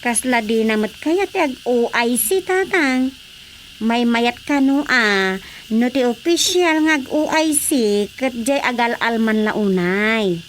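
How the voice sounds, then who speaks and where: Younger villager, chatty, frank, high voice, comedic radio drama acting. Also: female voice, animated, slow, slight background noise. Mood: questioning, frank. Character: chairman's chatty, frank younger female relative or aide.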